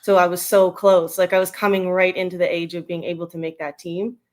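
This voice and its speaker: Female, thirties, western Canadian accent. Lowish voice, casual and meandering interview guest. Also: level tone, brisk. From Edmonton, Canada. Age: 30s. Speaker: interview guest, retired national team baseball player.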